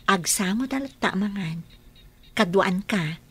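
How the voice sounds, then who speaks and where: A woman in a radio drama playing a caring young fiancée who fusses gently over her man. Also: mid-range voice, animated, measured pace, breathy edge.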